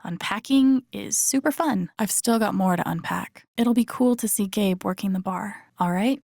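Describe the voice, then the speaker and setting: A young American woman as an introspective video game heroine. Her voice sits at a middling pitch with a gravelly fry, recorded very dry and close. She talks to herself in naturalistic, wry murmured asides. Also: normal speed, darkish tone, dry recording. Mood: casual, wry. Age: young adult. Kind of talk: naturalistic game inner-monologue acting.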